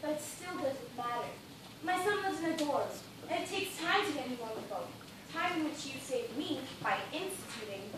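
A young woman on stage playing an imperious, exasperated, self-pitying boss, commanding and clipped. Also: sits high. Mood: defensive, irritated. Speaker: stage actor. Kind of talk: live stage play acting. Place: indoor theatre stage. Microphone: distant audience camera. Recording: echoey, noisy theatre recording from far off.

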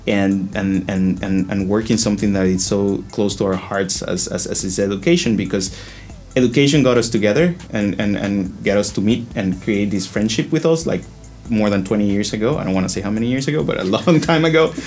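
Low-voiced man. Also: darkish tone. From Guatemala. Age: 30s to 40s. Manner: halting, repeats words while thinking. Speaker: company executive, interview guest. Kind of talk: conversational interview answers. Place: indoor studio-style office set.

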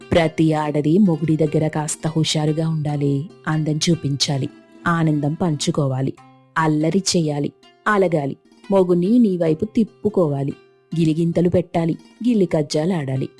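A woman voicing a bossy, protective neighbour in an animated moral story, chiding and emphatic in a familiar way. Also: deep voice, normal speed, husky, soft background music. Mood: coaxing, chiding.

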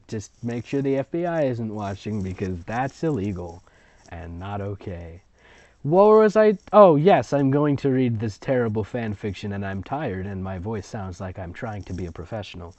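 Casting: sarcastic story reader-commentator, rambling and mocking, lively swooping delivery, dull dry recording. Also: medium pitch, moderate pace, no room sound. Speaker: fan fiction reader and commentator. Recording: dull lo-fi audio, close and dry.

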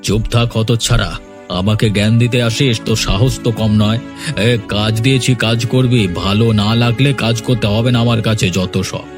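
A man voicing a bossy, quick-tempered rich warehouse owner in a cartoon story, berating his workers in exclamations. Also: lowish voice, fairly even intonation, music in the background, natural voice. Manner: berating, hectoring, full of exclamations.

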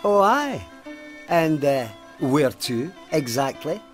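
An actor playing a stern, pious Scottish police sergeant in an audio drama, officious, pompous and indignant. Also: male voice, fairly high pitch, very animated, slow, faint music behind. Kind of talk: full-cast audio drama acting.

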